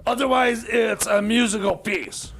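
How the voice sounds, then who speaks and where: Very high creaky man, argumentative. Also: fairly even intonation, a bit bright. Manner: stubborn, argumentative, halting and repetitive. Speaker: radio show co-host. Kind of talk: bantering comedy radio talk.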